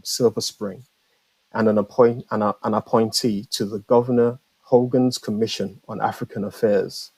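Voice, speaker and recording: A middle-aged man co-hosting a live author interview over a video call, speaking haltingly and repeating words as he goes. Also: medium pitch, darkish tone, husky, dry recording, low-quality recording. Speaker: co-host of an author interview show. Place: indoor room at home, video call. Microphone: video-call microphone.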